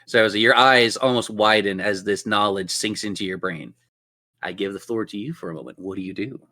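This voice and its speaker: A man's voice: fairly low pitch, fairly quick, sharp tone. A man improvising dramatic, descriptive narration as game master of a tabletop roleplaying game.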